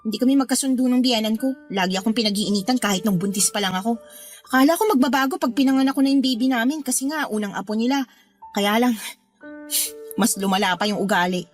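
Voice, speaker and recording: Casting: woman as defiant young eldest daughter, very high voice, snippy, radio drama with soft music behind. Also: fast, natural voice. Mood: weary, resentful. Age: young adult. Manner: snippy, complaining, stubborn.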